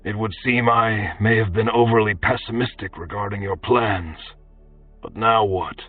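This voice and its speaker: A man's voice: on the low side, normal speed, far from the mic, narrow, tinny audio, faint music behind, pitched above the performer's own voice, close to an everyday voice. Man playing a dry, detached swordsman. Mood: sceptical.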